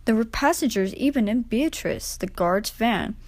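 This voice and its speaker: A woman's voice: on the high side, expressive, moderate pace, no room sound. A woman reading a children's story as a scripted narration.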